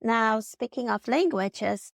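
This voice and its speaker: A woman's voice: fairly high pitch, slow pace, creaky, no room sound, studio quality. A woman from the Netherlands with a Dutch accent, narrating a personal vlog in an earnest, reflective way. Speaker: vlogger.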